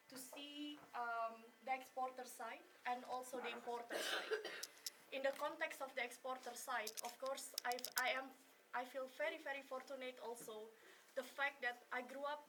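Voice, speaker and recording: A woman's voice: sits high, noisy background. A woman in her forties from Indonesia, speaking earnestly as a trade expert at a networking event, with many fillers and restarts. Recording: distant mic at an event.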